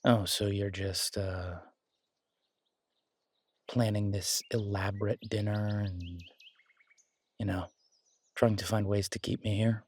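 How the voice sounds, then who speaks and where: Man acting a husky, low-voiced werewolf boyfriend. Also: fairly even intonation, slow pace, long pauses, a little mellow, dry recording. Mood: gentle, reassuring. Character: male werewolf boyfriend, tinkering biker, affectionate, easygoing. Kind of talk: intimate roleplay audio drama.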